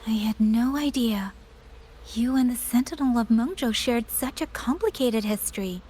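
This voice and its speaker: A woman playing a gentle, dutiful younger sister in a video game, with an earnest and polite way of talking. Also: a little high, animated, normal speed. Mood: surprised. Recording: music behind the voice.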